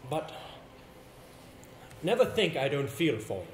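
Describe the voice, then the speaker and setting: An actor on stage playing a confident, sympathetic theatre actor who encourages a pupil. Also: male voice, a little high, sing-song, measured pace. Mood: encouraging, earnest. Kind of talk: live stage play acting. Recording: echoey hall, clearly noisy stage recording.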